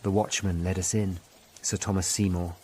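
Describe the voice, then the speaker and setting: A male actor as a sober Tudor lawyer telling his story in a low male voice. His delivery is measured and grave, in scripted radio drama style, over faint ambient noise. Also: flat delivery, darkish tone, lightly acted. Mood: grave, troubled. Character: Tudor lawyer narrating a murder hunt, sober and troubled. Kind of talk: scripted first-person narration in a radio drama.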